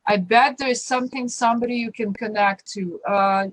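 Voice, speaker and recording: A woman from Ukraine with a Ukrainian accent, a guest talking earnestly and warmly about her life, rambling and restarting her sentences. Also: a little high, steady tone, moderate pace, no echo. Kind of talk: casual interview talk, personal advice.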